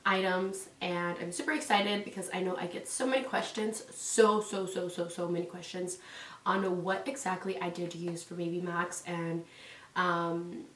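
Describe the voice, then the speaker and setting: A woman's voice: fairly low pitch, fairly even intonation, normal speed, recorded from a distance. A young woman from California vlogging casually about parenting, rambling with lots of "like" and "um," sounding stuffy and congested from a cold. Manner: rambling, many "like"s and "um"s. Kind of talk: casual chatty vlog. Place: indoors at home. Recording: echoey room, away from mic.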